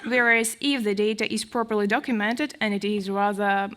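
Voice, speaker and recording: A woman's voice: medium pitch, fairly even intonation, moderate pace, fairly bright tone. A woman from Latvia with a Latvian accent giving a long, hesitant, explanatory answer as an academic on a panel.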